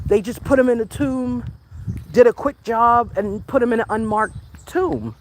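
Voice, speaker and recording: A woman's voice: on the high side, normal speed, a little hiss or hum. Chatty American woman, casual livestream host, rambling talk to viewers, recorded outdoors on a walk. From United States. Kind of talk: casual livestream chat while walking a dog outdoors. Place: outdoors on a walk, rural or natural setting.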